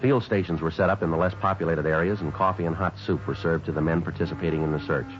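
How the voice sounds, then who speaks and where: A man acts a businesslike American detective in a vintage radio police drama. The voice is deep and quick, with terse deadpan delivery, on a dull old broadcast recording. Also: soft background music, lightly acted. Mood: flat, factual. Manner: deadpan, terse, matter-of-fact. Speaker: narrator and lead actor. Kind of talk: 1950s radio police drama acting, clipped scripted narration.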